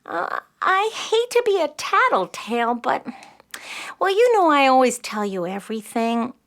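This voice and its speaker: Nine-year-old know-it-all girl, earnest and eager, high and very animated. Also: unhurried, exaggerated voice. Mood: confiding. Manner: earnest, chatty, explaining. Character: bright, eager, spirited nine-year-old girl who loves facts. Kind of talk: children's audio play acting.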